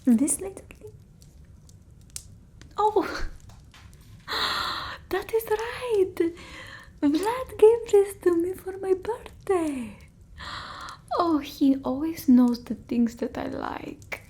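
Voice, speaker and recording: A woman voicing an eccentric centuries-old female vampire in an ASMR roleplay, speaking softly and often whispering, close to the mic. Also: extremely high pitch, animated, heavily acted. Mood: delighted. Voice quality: soft, breathy, often whispered. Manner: halting, theatrical, with quaint phrasing. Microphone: close ASMR microphone.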